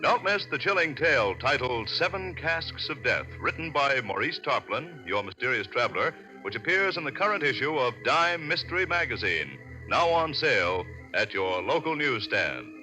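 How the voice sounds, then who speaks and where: A man's voice: mid-range voice, sing-song, moderate pace, hardly any pauses, sharp tone, soft background music, poor audio. A man working as a radio announcer, reading scripted credits in a 1940s broadcast style.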